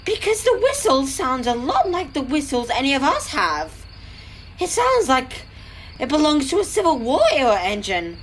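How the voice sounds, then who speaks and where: Lady voicing a no-nonsense girl train engine, high voice, swoops around, bit of noise. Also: sing-song, moderate pace, low-quality recording. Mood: firm. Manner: frank, reproving, earnest. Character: sensible, frank female switcher locomotive, firm and exasperated. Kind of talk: fan audio drama acting.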